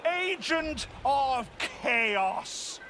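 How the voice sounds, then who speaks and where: A man playing a theatrical zealot in a video game, rousing a mob with grandiose, accusing, drawn-out oratory. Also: very high-pitched, lively intonation, bright, forceful tone, a little hiss or hum, full character voice. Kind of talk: dramatic video game acting.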